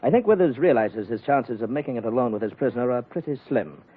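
An actor playing a brisk, courteous British colonial police lieutenant in an old radio adventure. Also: male voice, mid-range voice, expressive, husky. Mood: brisk briefing. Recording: muffled old radio recording.

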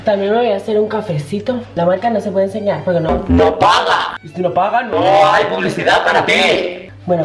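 Young woman, low voice, ironic and playful, roomy apartment, faint music behind. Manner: ironic, self-mocking, playful. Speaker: vlogger. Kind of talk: comedic parody vlog. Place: small apartment kitchen and bedroom. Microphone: handheld camera at arm's length.

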